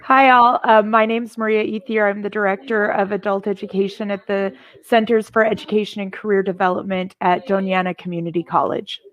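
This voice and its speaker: A woman's voice: mid-range voice, flat delivery, brisk. A woman giving a brief, formal self-introduction as a panelist on a video call. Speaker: panelist, adult education director. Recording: dull, lo-fi video-call audio.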